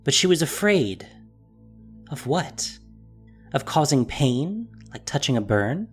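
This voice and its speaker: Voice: mid-range voice, very animated, takes it slowly, soft background music. A narrator reading a scripted fantasy story in a dramatic storytelling style.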